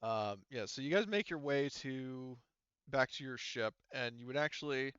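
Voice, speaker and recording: Man, game master, clear smooth voice, halting casual narration, dry sound, slightly dull recording. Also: mid-range voice, fairly bright tone, no room sound. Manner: halting, rambling, filler-heavy explanations.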